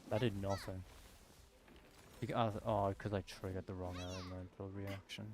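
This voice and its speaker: Creaky-voiced streamer thinking aloud. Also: sits low, level tone, normal speed. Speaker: video game streamer. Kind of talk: casual gameplay livestream commentary.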